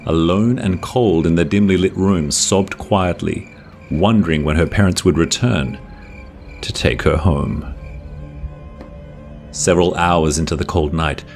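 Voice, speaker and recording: A man narrating a scary folktale from a script in a measured, dramatic storytelling manner with drawn-out phrases. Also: deep voice. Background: music.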